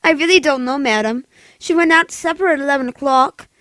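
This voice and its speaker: A woman's voice: high-pitched, brisk, a bit bright, no room sound. An actress playing a polite, officious hospital switchboard clerk in a radio thriller, formal and detached. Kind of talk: old-time radio thriller acting.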